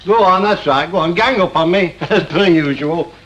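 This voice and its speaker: A man's voice: sits high, normal speed, fairly bright tone, dull audio. An actor playing a put-upon, middle-aged working-class father who grumbles in a northern English accent, in 1960s television soap style. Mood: aggrieved. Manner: grumbling, aggrieved. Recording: old noisy 1960s television soundtrack.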